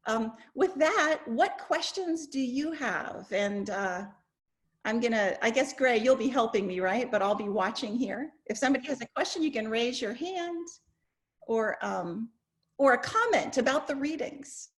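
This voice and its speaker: A woman's voice: fairly high pitch, expressive, unhurried. A woman, a professor, moderating an informal discussion over a video call. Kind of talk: informal online class discussion.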